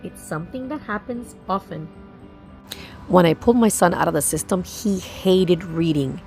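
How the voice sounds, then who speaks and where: A low-voiced woman with noticeable vocal fry narrates a vlog as a mother and host. She speaks in short, emphatic phrases and throws in rhetorical questions, with music behind her. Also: normal speed, darkish tone. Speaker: homeschooling mother and video host. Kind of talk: scripted-sounding narration for a vlog.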